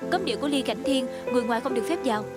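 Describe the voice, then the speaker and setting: A woman playing a young healer from a secret tribe in a dubbed fantasy costume drama, caring but quick to scold. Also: sits high, lively intonation, over loud music. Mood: brisk concern.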